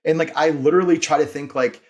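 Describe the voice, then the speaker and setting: A man's voice: on the high side, steady tone, quick pace, highs cut off. A man speaking as a founder and podcast guest, informal and conversational, repeating phrases for emphasis and leaning on "like". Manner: informal, frequent "like", emphatic repetition. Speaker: company founder and CEO, interview guest.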